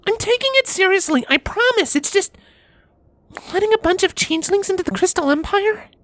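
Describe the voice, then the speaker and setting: A woman's voice: extremely high pitch, lively intonation, a little fast, fairly bright tone, no echo, voice pushed up. A woman voicing a sulky, remorseful young female pony princess with a hesitant, grudging manner in a storybook reading. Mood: sulky, defensive. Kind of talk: fan fiction storybook reading.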